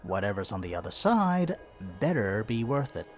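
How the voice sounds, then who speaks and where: A man playing a quippy, sarcastic detective with a wry, expressive delivery in a mystery adventure game. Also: lowish voice, moderate pace, soft background music. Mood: curious, dry. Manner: wry, dryly joking, expressive. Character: quippy, sarcastic male detective, curious and easily baffled.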